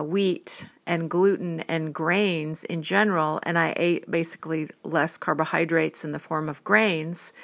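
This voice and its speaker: A woman in her fifties talks in an earnest, rambling way, ticking off items in lists. Her voice sits low with a gravelly fry. It is a casual monologue to camera. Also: fairly bright tone. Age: 50s. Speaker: vlogger and art model.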